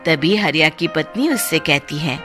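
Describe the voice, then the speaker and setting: A woman's voice: low voice, animated, moderate pace, fairly bright tone, faint music behind. A woman narrating a scripted horror folk tale as a storyteller.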